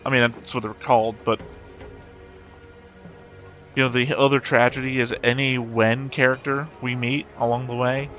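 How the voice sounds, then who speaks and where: A man's voice: medium pitch, little pitch movement, moderate pace, pauses often, a bit bright, soft background music. A man hosting an anime reaction channel, talking casually and thinking aloud in a rambling way.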